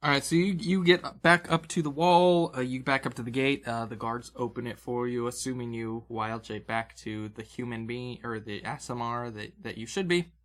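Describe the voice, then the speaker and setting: A man's voice: mid-range voice, normal speed, hardly any pauses, a bit bright. A man working as game master, narrating an improvised tabletop adventure in a conversational, descriptive way. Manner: conversational, descriptive, with vocal sound effects. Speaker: game master, podcast host.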